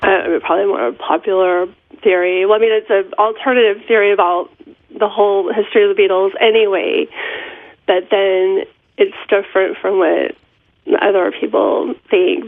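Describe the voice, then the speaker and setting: A woman's voice: mid-range voice, moderate pace, no echo. A middle-aged woman, congested from a cold, calling in to a radio interview, chatty and laughing between thoughts. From Seattle area. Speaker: author and guest caller. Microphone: telephone. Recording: tinny dry phone line.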